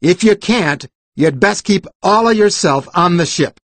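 A woman's voice: fairly low pitch, animated, unhurried, dry recording. A woman playing a mocking, salty sailor with old-fashioned seafarer talk in an audiobook. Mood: teasing. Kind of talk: audiobook character acting.

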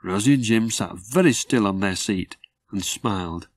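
Low-voiced man reading a children's story. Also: moderate pace. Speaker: author reading his own story. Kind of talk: scripted children's story narration.

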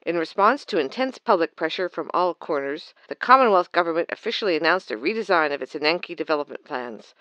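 A man's voice: a little high, animated, fairly quick, hardly any pauses, bright, forceful tone, dry recording, highs cut off. A man voicing an earnest, formal radio news reporter in a sci-fi comedy audio drama. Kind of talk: scripted newscast in an audio drama.

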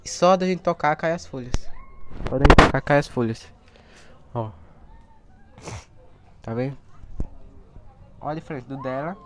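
Hoarse young man showing his plants. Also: mid-range voice, measured pace, pauses often, background noise. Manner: chatty, points things out to viewers. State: hoarse from a cold. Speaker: plant vlogger. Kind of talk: casual walkthrough vlog. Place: outdoor backyard garden area. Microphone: handheld phone camera.

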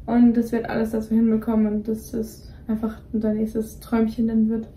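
A woman's voice: a little high, completely flat delivery, fairly quick, warm tone, a little hiss or hum. Young woman rambling in a roomy bedroom. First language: Greek is partly her home language. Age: young adult. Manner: rambling, many fillers and restarts. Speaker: co-host. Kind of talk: casual chat. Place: dim bedroom, indoors. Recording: roomy echo, voice somewhat distant from mic.

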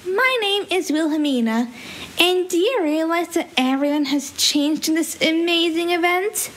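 A woman's voice: very high-pitched, expressive, unhurried. A young woman voicing a manically cheerful, deranged female cartoon villain creature with a gleeful, sinister, coaxing delivery. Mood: sweetly coaxing. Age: young adult. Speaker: amateur voice actor. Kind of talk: theatrical character voice lines. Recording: faint hiss, home recording.

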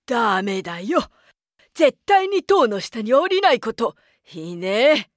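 A woman's voice: fairly high pitch, sing-song, slow pace, dry recording, clean studio sound. A woman voicing a domineering, scolding old witch in a children's storybook cartoon. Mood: stern. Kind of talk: children's musical storybook acting.

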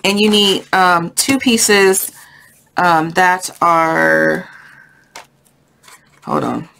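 A woman's voice: fairly low pitch, steady tone, very slow, creaky, a bit bright, slight background noise. A woman hosting a casual craft tutorial, chatty and thinking aloud, with a voice congested from a sinus infection. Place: indoors at a craft table.

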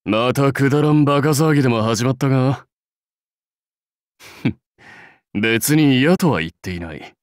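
An actor playing a proud, brusque warlord for a mobile game, curt, commanding and self-assured in manner. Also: male voice, medium pitch, lively intonation, unhurried, pauses often, no room sound, clean studio sound, close to an everyday voice. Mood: confident, dry. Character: proud, brusque warlord rider devoted to his clan. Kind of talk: Japanese mobile game character lines.

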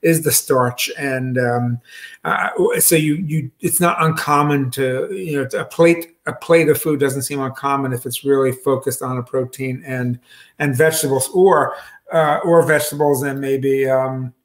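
A man in his fifties talks a wine club through a bottle over a video call. He speaks casually from his home kitchen, stopping and restarting often and filling gaps with ums. His voice sits at an ordinary pitch for a man. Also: steady tone. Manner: halting, many fillers and restarts, gestures with hands. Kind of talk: casual wine tasting talk. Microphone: video call webcam.

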